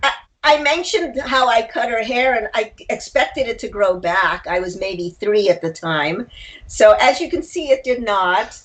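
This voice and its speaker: A woman's voice: fairly high pitch, lively intonation, unhurried, fairly bright tone, low-quality recording. A woman speaking as a writer being interviewed, conversational and reflective, feeling her way toward the right words. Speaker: interview guest, short story writer.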